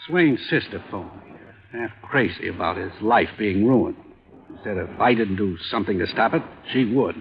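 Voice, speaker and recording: An actor playing a wealthy, pushy murder suspect who keeps offering money, in 1950s radio drama style. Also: male voice, animated, moderate pace, gravelly. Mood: pleading. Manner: low, bargaining, offers money. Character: rich, pushy murder suspect, middle-aged man. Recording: old lo-fi broadcast, phone-like.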